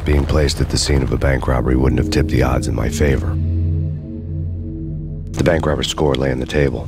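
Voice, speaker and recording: Brooding cop, extremely low voice, jaded, wry, terse noir game narration, loud music underneath. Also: male voice.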